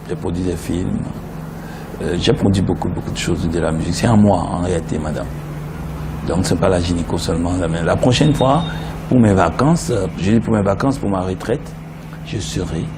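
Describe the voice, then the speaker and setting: Lively man with a low voice. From Benin. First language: Yoruba. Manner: animated storyteller, breaks into song. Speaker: interview guest, gynecologist. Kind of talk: casual interview chat. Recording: noisy background.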